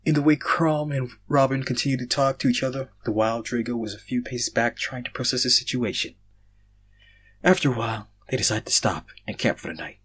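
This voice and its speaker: A man's voice: mid-range voice, brisk, fairly bright tone, husky. A man reading a fan fiction story aloud in an amateur way, sometimes stumbling over words and correcting himself.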